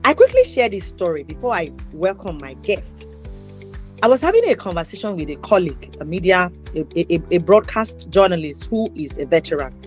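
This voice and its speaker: A woman with a Nigerian accent hosting an interview show, speaking in deliberate, emphatic phrases and posing rhetorical questions. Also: on the low side, sing-song, soft background music. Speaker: talk show host.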